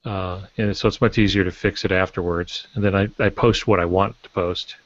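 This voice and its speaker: A man's voice: low-pitched, fairly even intonation, normal speed, no room sound. A man chatting casually as a podcaster, telling anecdotes with dry humour. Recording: dull, close dry audio.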